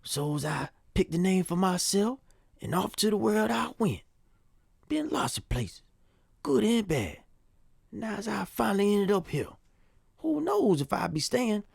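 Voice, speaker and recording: A man playing an old, teasing former slave and musician in an audio drama, with a husky, gravelly drawl. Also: sits high, big pitch swings, unhurried, long pauses, dry recording, clean studio sound, exaggerated voice. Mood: reflective, matter-of-fact. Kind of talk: nostalgic audio drama acting.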